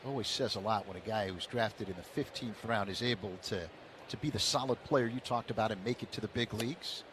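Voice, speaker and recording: A man calling baseball play-by-play on the radio in a steady, measured way. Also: on the low side, background noise. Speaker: play-by-play announcer.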